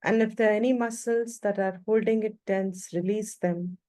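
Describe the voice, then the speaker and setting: A woman leading a guided meditation as a teacher, speaking calmly in short phrases with long pauses between them. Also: medium pitch.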